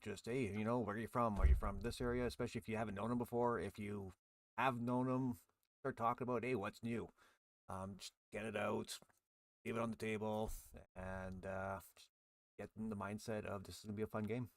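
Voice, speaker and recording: A man's voice: fairly low pitch, dry recording. A man hosting a casual hobby podcast, chatting and putting questions to others. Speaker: podcast host.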